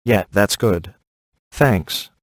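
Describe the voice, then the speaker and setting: An actor playing a streetwise, exasperated ghost hunter in a video game, informal and grumbling. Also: male voice, on the low side, extremely slow, no echo.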